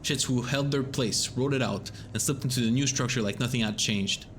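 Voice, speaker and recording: A man voicing an intense, bitter male station worker who talks with grim urgency, his voice going hoarse. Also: medium pitch, normal speed, noisy background, natural voice.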